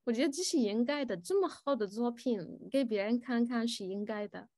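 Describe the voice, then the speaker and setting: A woman fields audience questions, talking slowly and hesitantly with many pauses and fillers. Her voice sits a little high. The recording is close and dry with no room sound. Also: darkish tone, no echo. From Egypt. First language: Arabic. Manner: switches between Chinese and English. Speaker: guest speaker answering audience questions. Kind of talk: live Q&A at a student forum.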